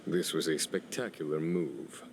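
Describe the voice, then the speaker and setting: A man voicing a shrewd, quietly sardonic general in a video game, dry, formal and measured. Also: very deep voice, steady tone, background noise. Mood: wry, knowing.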